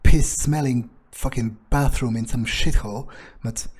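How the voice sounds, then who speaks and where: A man's voice: mid-range voice, steady tone, a little slow, a little mellow, slightly rough. A man playing a game in character as a vampire, thinking aloud in a hesitant, self-interested, sweary way. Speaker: video game player narrating in character as a vampire.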